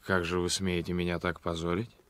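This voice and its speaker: A man's voice: low-pitched, little pitch movement, fairly quick, a bit bright, no echo. An actor playing a proud, swaggering village guardsman with a taunting manner in a radio play. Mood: offended. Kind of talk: Soviet radio play acting.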